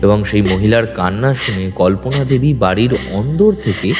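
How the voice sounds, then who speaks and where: A man's voice: lowish voice, normal speed, darkish tone. A man narrating a scripted detective story in the first person with a dramatic storytelling delivery. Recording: long reverb with music bed. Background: background music.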